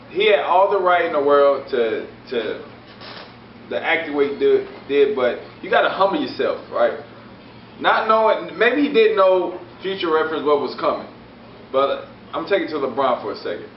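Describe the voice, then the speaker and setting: A young man in casual sports talk, playful and joking, arguing the other side with folksy sayings, filmed from afar in a barbershop. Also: on the high side. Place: barbershop, indoors. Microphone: far camera. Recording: echoey room, distant lo-fi mic, faint hiss.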